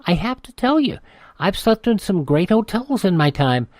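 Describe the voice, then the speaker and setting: A man's voice: high voice, big pitch swings, normal speed, darkish tone, dry recording, clean studio sound. A man presenting a radio programme as host in a scripted style.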